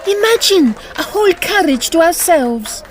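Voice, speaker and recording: Woman playing a vivacious high-voiced opera diva, busy crowd behind. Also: animated, normal speed. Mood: excited, playful. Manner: exuberant, theatrical. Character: vivacious, grand Victorian female opera singer. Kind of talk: period comedy audio drama acting.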